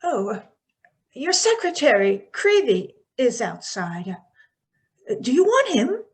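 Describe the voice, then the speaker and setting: A woman playing a judge's anxious, gentle wife, hesitant and concerned, in old-time radio drama style. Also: high voice, wildly swooping pitch, heavily acted. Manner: hesitant, drawn-out. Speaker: actor in a radio play.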